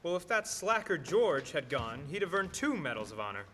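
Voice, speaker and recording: A man's voice: a little high, sing-song, moderate pace, pushed and bright. A man playing a flustered, forgetful old uncle in an old-time radio play. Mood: gloating. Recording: noisy, reverberant stage recording.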